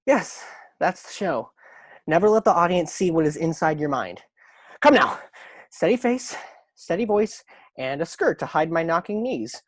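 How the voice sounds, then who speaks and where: Man playing a high-voiced, anxious young stage actor. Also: animated, moderate pace, no echo, studio quality. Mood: nervous. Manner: nervous, theatrical. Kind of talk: stage-style audio drama acting. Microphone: video call recording.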